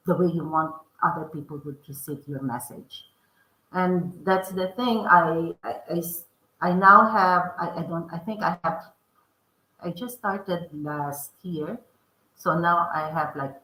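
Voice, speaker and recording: A woman's voice: lowish voice, pushed and bright, roomy sound, rough recording. A middle-aged woman from the Philippines with a strong Filipino accent, answering interview questions earnestly, often restarting her sentences. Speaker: podcast guest, author.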